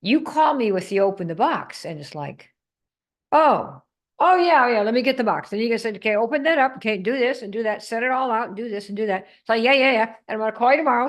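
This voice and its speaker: A woman from the Netherlands coaching a live group on sales, emphatic and quizzing her audience. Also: on the high side. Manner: emphatic, asks the audience questions.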